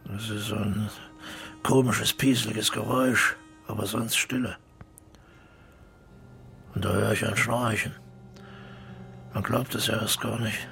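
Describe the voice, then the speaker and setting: Gravelly, gruff old drinker, low-voiced. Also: male voice, fairly even intonation, unhurried, long pauses, fairly bright tone, soft background music. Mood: brooding, reminiscing. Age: older. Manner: blunt, colloquial, terse fragments. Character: gruff, bitter old widowed drinker defending his friend. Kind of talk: naturalistic radio drama dialogue.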